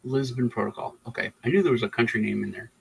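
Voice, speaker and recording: A man from Texas who grew up in Germany talks through politics on a livestream. His voice sits at an ordinary middle pitch, and his speech is loose and rambling, full of restarts and self-corrections. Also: fast talker, gravelly, slightly rough. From Texas, raised in Germany. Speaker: livestream host. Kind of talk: casual political chat.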